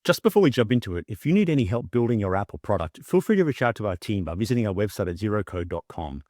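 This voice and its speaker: A man narrating an instructional software tutorial, explaining things step by step as the presenter. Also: fairly low pitch, very animated, fast talker, no echo, clean studio sound. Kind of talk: instructional screen-share narration.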